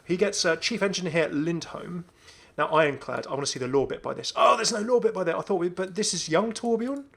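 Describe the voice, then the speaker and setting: A man's voice: sits high, fairly quick, gravelly, few pauses, a bit bright, slightly rough. Chatty man commentating on games. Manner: chatty, enthusiastic, thinking aloud. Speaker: gaming video host. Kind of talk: casual game update commentary.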